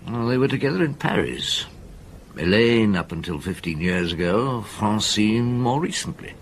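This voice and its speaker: A very low, gravelly man plays out a seasoned detective in a radio drama. His delivery is reflective and measured but widely inflected, with some background noise. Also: lively intonation. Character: seasoned, thoughtful police detective recounting a case.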